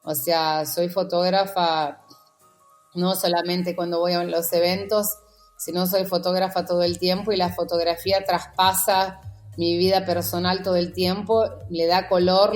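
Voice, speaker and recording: A middle-aged woman from Rio de Janeiro with a Buenos Aires accent, telling personal stories as an animated podcast guest. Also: lowish voice, moderate pace, faint music behind. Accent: Buenos Aires porteño Spanish. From Rio de Janeiro, Brazil. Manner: animated storyteller, acts out quoted conversations. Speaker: podcast guest, wedding photographer. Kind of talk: casual interview storytelling.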